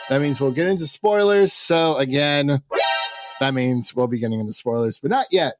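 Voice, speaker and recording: A man's voice: medium pitch, no room sound. A man hosting a film podcast, chatting casually and stumbling over names with a self-deprecating laugh. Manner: laid-back, fumbles names, self-deprecating. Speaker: podcast host.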